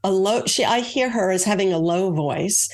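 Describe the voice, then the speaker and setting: A woman's voice: medium pitch, moderate pace. A woman from Long Island, a guest author who explains things step by step in casual interview talk, with hesitant fillers. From Long Island, New York.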